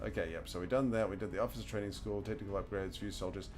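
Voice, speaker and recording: A man's voice: fairly low pitch, steady tone, rapid-fire, a little mellow, soft background music. A man with a New Zealand accent chatting casually and thinking aloud as he plays a video game, chuckling often. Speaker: gaming streamer. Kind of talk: casual commentary while playing a video game.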